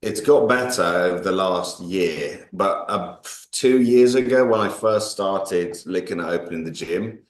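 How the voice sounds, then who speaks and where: A man's voice: deep voice, a little slow, few pauses. A man in his late twenties with an English accent, chatting casually and self-deprecatingly as a podcast guest. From Greece. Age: 20s. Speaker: guest, gym owner. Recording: echoey room.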